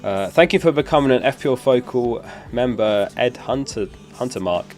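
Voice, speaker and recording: A man's voice: mid-range voice, expressive, moderate pace, darkish tone, faint music behind. A young man hosting a live stream, chatting casually and answering questions from chat while getting over a lost voice. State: recovering from a lost voice. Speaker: streamer host.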